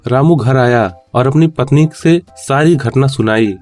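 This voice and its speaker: A man's voice: mid-range voice, a little slow, darkish tone, soft background music. A man narrating a scripted moral story for children.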